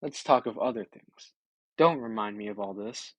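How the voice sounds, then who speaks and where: A loyal old swineherd , voiced by a man reading an epic, speaks in a mid-pitched voice. He is heartfelt and halting, devoted to his master and heavy with grief. The recording is close and dry. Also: big pitch swings, vocal fry, no echo, exaggerated voice. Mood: weary, mournful.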